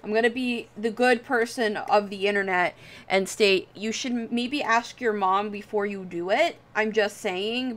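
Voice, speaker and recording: A woman livestreamer chatting casually while she draws, rambling and self-deprecating, with casual swearing. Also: mid-range voice. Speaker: livestream host.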